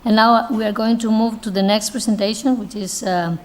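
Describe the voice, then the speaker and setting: A woman's voice: a little high, fairly even intonation, brisk. A woman chairing a conference session, thanking one speaker and introducing the next.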